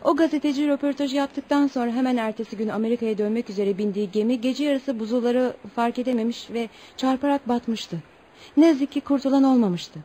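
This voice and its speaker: A woman's voice: on the high side, a little fast, warm tone, close to an everyday voice. An actress in a Turkish radio play voicing a young Eskimo girl, formal and polite, proud and eager in a naive way. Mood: matter-of-fact. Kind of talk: Turkish radio theatre acting. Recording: noisy radio play recording.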